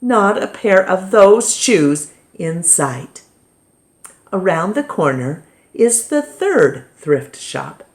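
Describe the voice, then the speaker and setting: A woman reading a children's picture book aloud as storytime reader, with dramatic pauses and emphasis. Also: on the low side, sing-song.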